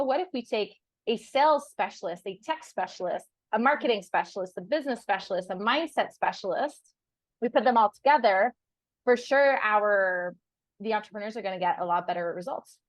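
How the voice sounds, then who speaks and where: A woman's voice: medium pitch, expressive, a little fast, no echo, poor audio. A young woman whose first language is French, chatting in a casual interview over a video call, often laughing and restarting words. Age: young adult. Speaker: guest. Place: on a sailboat.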